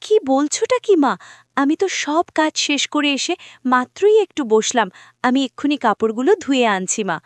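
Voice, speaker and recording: A sweet, dutiful village girl in a cartoon, voiced by a woman, speaks in a fairly high voice. She sounds earnest and put-upon, recorded close and dry. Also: lively intonation, normal speed, no echo. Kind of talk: cartoon voice acting.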